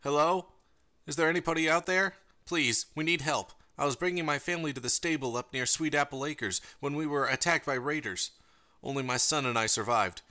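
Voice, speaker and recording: A man's voice: a little high, brisk, sharp tone, pitched above the performer's own voice. A man voicing a desperate, weary pony parent pleading for help in a radio distress broadcast within an audiobook story. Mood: resigned pleading. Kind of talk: dramatic audiobook character reading.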